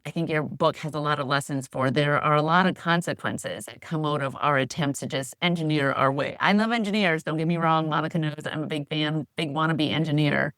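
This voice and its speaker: A woman's voice: low-pitched, fairly quick, few pauses, a bit bright, dry recording. A middle-aged woman co-hosting a podcast interview, talking loosely and thinking aloud with fillers. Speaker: podcast co-host. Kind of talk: conversational interview.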